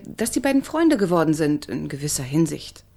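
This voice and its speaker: A young woman author in a radio drama, her voice fairly low for a woman. She is courteous and inquisitive, gently coaxing and persistent as she probes for secrets. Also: big pitch swings, brisk, creaky, full character voice. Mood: probing. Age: young adult. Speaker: author researching a trial. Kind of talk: radio play dialogue.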